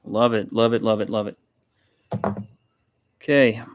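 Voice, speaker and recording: A man casually hosting a hands-on demonstration, talking haltingly and muttering to himself as he works. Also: on the low side, steady tone, muffled recording, slight background noise. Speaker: vlog host, maker showing designs.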